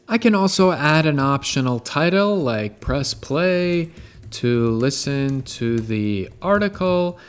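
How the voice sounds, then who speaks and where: A man hosting a casual software tutorial, explaining each step in a conversational way. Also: a little high. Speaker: tutorial host.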